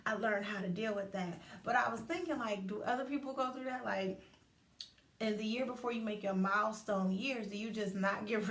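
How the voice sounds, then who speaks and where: Thirty-something woman host, lively and talky, recorded at a distance in a reverberant room. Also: medium pitch. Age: 30s. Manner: animated, chatty, acts out inner voices. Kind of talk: casual livestream talk. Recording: roomy echo, distant from mic.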